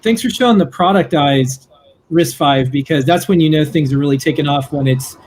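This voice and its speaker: A man's voice: on the high side, normal speed, a little mellow, slightly rough. A man hosting a live show in a casual, conversational style. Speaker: show host.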